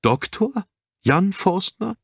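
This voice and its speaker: Man putting on a high-pitched, gushing young woman receptionist. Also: big pitch swings, slow, gravelly, breathy edge, no echo, clean studio sound, heavily acted. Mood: surprised. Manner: bright, gushing, chatty. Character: chirpy, eager young female receptionist, gushing and helpful.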